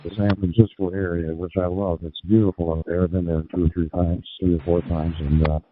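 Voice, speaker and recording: Low-voiced man on tinny ham radio. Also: level tone, brisk, slight background noise. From Southern California. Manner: cordial, orderly. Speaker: amateur radio operator. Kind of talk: friendly radio contact with call signs.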